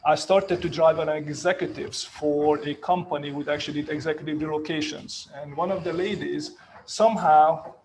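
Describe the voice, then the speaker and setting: A man's voice: on the high side, steady tone, normal speed, darkish tone, slight background noise, low-quality recording. A man in his fifties with a Hungarian accent, telling his career story casually on a panel and often adding "right?". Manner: chatty, self-mocking, leans on "right?" tags. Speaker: real estate agent on a panel.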